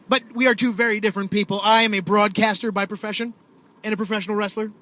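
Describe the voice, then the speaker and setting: High-voiced male podcast co-host in a car. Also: level tone, quick pace, bright, forceful tone. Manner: mock-announcer delivery, dry teasing. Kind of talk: casual banter while driving. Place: inside a car.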